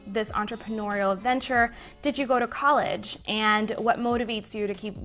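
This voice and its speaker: Woman, 20s, Puerto Rican accent, native Spanish speaker, chatty vlog answers, webcam at home, middle pitch. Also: a bit bright. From Puerto Rico. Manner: chatty, gestures a lot. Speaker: business vlogger. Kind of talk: Q&A to camera. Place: living room indoors. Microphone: webcam at desk.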